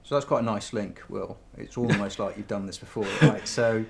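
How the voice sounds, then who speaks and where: A man's voice: lowish voice, normal speed, vocal fry, a crowd in the background. A man interviewing a guest, asking long probing questions with hesitant restarts and fillers. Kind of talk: interview conversation.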